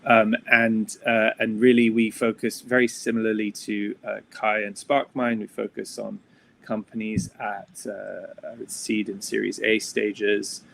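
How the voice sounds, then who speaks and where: A middle-aged man with a low, gravelly voice that stays level in pitch. He introduces himself haltingly, stumbling over filler sounds and restarting his sentences, with a faint hiss of noise behind him. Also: level tone, a bit bright. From Switzerland. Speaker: venture capital investor. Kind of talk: panel self-introduction.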